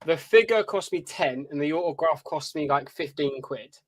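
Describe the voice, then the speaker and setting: Higher-voiced young British man, close and dry. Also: expressive, no echo. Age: young adult. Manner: halting, earnest explaining. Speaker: podcast co-host. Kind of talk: casual chat.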